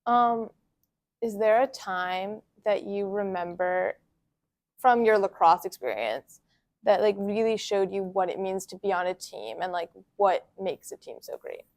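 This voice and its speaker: A woman's voice: medium pitch, expressive, unhurried, a little mellow. A woman interviews a student athlete, her voice plain and conversational. She asks encouraging follow-up questions and repeats his answers back to him.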